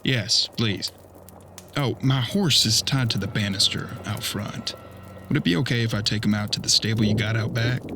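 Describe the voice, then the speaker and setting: A man's voice: on the low side, moderate pace, music in the background, close to an everyday voice. A man playing a folksy, polite frontier detective in a western audio drama, with plainspoken country phrasing. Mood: polite, easygoing. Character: folksy, easygoing young frontier detective riding alone.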